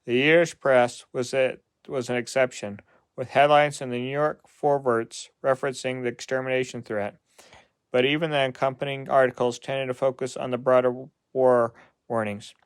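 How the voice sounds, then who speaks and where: A man presenting an informational script read aloud, stumbling now and then in a halting delivery. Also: mid-range voice, level tone, clear, resonant tone, no room sound.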